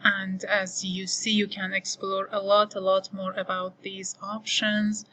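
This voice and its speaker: Farsi-speaking woman instructor, screen tutorial, unhurried, bright tone, lots of "uh"s. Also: mid-range voice, monotone. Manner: unhurried, thinking aloud with frequent fillers. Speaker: online course instructor. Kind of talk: screen-recorded tutorial walkthrough.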